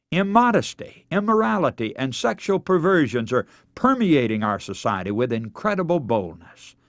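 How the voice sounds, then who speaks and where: A man's voice: mid-range voice, no room sound, clean studio sound. A man with an American accent delivering a scripted radio sermon in a formal, emphatic, oratorical way. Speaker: radio preacher and broadcast host.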